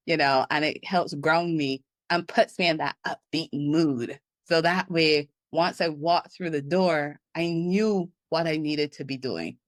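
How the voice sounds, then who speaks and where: Guest consultant, woman from Barbados, animated and laughing, dry close-miked sound. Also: low-pitched, no room sound. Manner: animated, laughs often, acts out her own inner thoughts. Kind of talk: casual interview talk.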